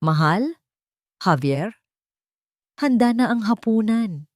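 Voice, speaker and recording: A woman voicing a simple, devoted wife in a narrated love drama, gentle and attentive. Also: mid-range voice, expressive, slow, dry recording, studio quality, pitched above the performer's own voice. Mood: tender, calling.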